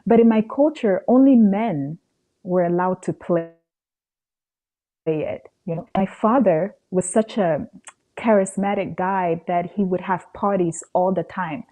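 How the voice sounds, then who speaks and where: A woman from Cameroon speaks as an interview guest in a low, very mellow voice. She tells a personal story conversationally, retelling exchanges in quoted dialogue. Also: a little slow, rough recording. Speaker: podcast guest.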